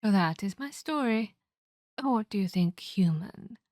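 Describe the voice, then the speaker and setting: A woman voicing the centuries-old ghost of a murdered girl in a horror ASMR roleplay, eerie, menacing and wistful, speaking deliberately with breathy, whispery delivery. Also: mid-range voice, lively intonation, darkish tone, no room sound, studio quality, natural voice. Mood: mournful, eerie. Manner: slow, deliberate, theatrical storytelling.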